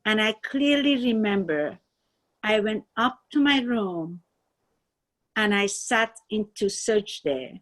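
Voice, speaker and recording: A woman with a Persian accent who shares a personal story in a halting, earnest way, with many restarts, as a class participant. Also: medium pitch, animated. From Iran. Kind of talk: personal testimony.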